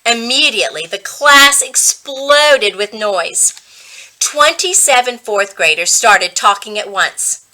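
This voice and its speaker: A woman reading a children's book aloud as a reading coach, with expressive, dramatic storytelling. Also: on the high side, slow pace.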